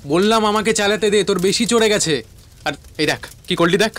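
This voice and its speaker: Man acting high-pitched, agitated brash young guy. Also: brisk, slight background noise. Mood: annoyed. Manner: slangy, exclaiming, agitated. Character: brash young man, irritable and panicky friend. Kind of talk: cartoon horror voice acting. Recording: loud background music.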